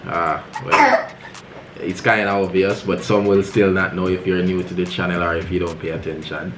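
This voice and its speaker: A young Jamaican man with a very low, deep voice, easygoing and quick to laugh. He drops patois phrases into casual vlog chat at home. A little music plays faintly underneath. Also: little pitch movement, a bit bright. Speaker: vlog co-host. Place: home bedroom.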